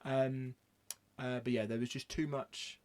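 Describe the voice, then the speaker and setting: A male podcast co-host chats casually about films in a rambling way, often breaking into laughter. His voice sits at a medium pitch. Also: little pitch movement, unhurried, darkish tone. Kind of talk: casual chat.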